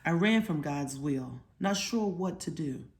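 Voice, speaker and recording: A woman's voice: sits low, lively intonation, unhurried. A woman voicing an eager young boy in a storybook, dramatically retelling his part in a Bible play. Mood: eager, dramatic.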